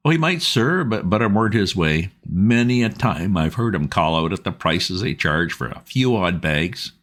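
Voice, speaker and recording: A man's voice: sits low, expressive, moderate pace, a bit bright, pitched above the performer's own voice. Man doing a fretful chatty boatman's wife. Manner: homely dialect, rambling. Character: stout red-faced working-class boatman's wife, anxious, chatty.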